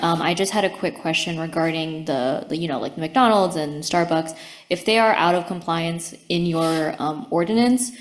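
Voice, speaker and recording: A woman in the audience politely asking a question, with some hesitant fillers. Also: on the low side.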